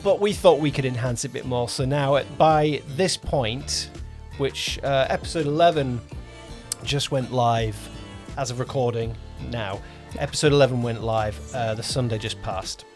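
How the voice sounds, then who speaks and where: A man of average pitch hosts a casual producer podcast. He talks in a conversational, loosely phrased way with some hesitation. Music plays behind his voice. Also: moderate pace. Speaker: podcast host, audio drama producer and director. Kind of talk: casual two-person podcast chat.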